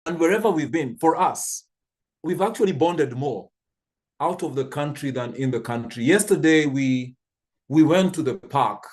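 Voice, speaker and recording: A man's voice: a little high, animated, moderate pace, a little mellow. A man in his fifties from Kenya, telling stories thoughtfully with frequent restarts on a church panel. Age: 50s.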